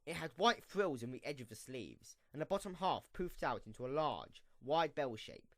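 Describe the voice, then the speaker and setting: Animated male narrator, dry close recording. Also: mid-range voice, normal speed, dry recording. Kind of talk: scripted storybook narration for an amateur radio play.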